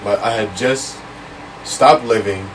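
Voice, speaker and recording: A man's voice: lowish voice, takes it slowly, recorded from a distance, background noise. A man in his late twenties co-hosting a casual podcast, drawling and laid-back. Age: 20s. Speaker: podcast co-host. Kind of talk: casual chat.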